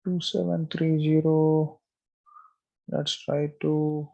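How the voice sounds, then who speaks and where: A man giving a step-by-step screen-share software tutorial, thinking aloud in a halting, repetitive, instructional way. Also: on the high side, level tone, gravelly, muffled recording. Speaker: software trainer.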